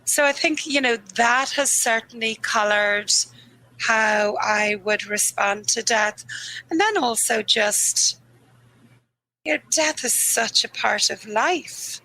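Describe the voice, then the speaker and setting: A woman grief therapist talks candidly in an interview, her voice bright, a little croaky and on the higher side. She is earnest and laughs easily, drawing out the phrases she wants to land. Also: takes it slowly, poor audio. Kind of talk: reflective conversational interview.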